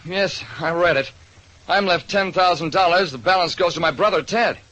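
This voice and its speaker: Man acting young wealthy heir, disgruntled, raised pitch, forceful bright tone, vintage radio. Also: normal speed, low-quality recording. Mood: sour, resigned.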